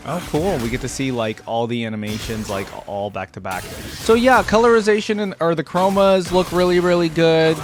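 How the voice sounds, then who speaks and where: A man hosting a reaction video, talking in a casual, unscripted, rambling conversational way. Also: medium pitch. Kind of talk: casual, unscripted reaction commentary to camera or screen.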